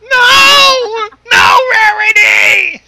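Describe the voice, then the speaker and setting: A woman giving loud, exclamatory reactions as a co-reader of a story. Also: very high-pitched, huge pitch swings, drawn-out words, gravelly, heavy background noise.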